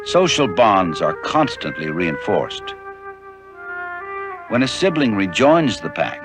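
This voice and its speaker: Man, documentary narrator, lowish voice, lively intonation, measured read, music underneath. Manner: measured, even, informative delivery. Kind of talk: scripted narration.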